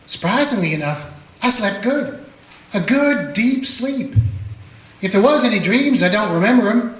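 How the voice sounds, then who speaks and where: A man's voice: high-pitched, lively intonation, moderate pace. A man playing a folksy Western sheriff. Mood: steady. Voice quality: folksy rural grammar. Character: plain-spoken Western small-town sheriff, steady man. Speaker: small-town sheriff Jake, narrating. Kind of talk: amateur radio play acting with narration. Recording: roomy echo.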